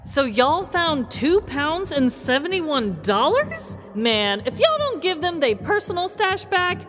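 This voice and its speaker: A woman's voice: very high-pitched, sing-song, takes it slowly, a bit bright, faint music behind, voice pushed up, exaggerated voice. An American woman voicing a sassy, mocking internet commenter with exaggerated, slangy incredulity. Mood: sassy disbelief.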